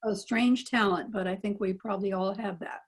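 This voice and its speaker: Husky elderly American woman reminiscing. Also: mid-range voice, fairly even intonation, moderate pace. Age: 80s. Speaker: former child radio actress, convention guest. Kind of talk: casual reminiscing in a panel interview. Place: remote virtual convention call.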